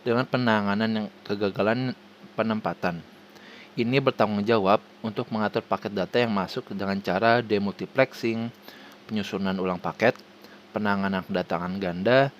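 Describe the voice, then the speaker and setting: A man with an Indonesian accent reading a formal scripted presentation haltingly, stumbling over words and restarting them. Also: on the low side, a little hiss or hum. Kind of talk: scripted presentation read aloud.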